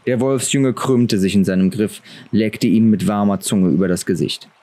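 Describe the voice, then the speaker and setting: A man's voice: lowish voice, normal speed, dark tone. A man narrating a fantasy audiobook from a script.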